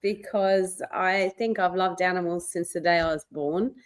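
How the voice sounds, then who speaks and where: A woman with a fairly low voice, recalling her past in an easygoing, chatty way with small hesitations. She is a guest on an interview show. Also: steady tone, moderate pace. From Australia. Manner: chatty, warm recollection with hesitations. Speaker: guest, wildlife TV presenter. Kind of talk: interview storytelling.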